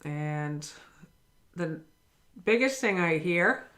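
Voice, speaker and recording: A woman's voice: fairly low pitch, animated, drawn-out words, fairly bright tone. Earnest woman giving a spiritual lesson. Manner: earnest and encouraging, speaks to the listener directly. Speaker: spiritual teacher. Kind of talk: daily spiritual lesson talk.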